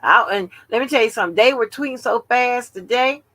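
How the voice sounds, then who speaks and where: A woman's voice: on the high side, brisk, a bit bright, dry recording. A woman in her seventies hosting a casual late-night livestream, talking in a rambling, chatty way with salty slang. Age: 70s. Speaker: livestream host.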